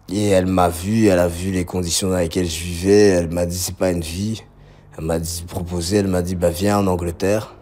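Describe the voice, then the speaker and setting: A man from Belgium gives a spontaneous personal testimony, reflective and halting, repeating words as he searches for them. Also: low voice, warm tone, a little hiss or hum. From Belgium, grew up around Liège. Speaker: interview subject telling his life story. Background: music under the voice.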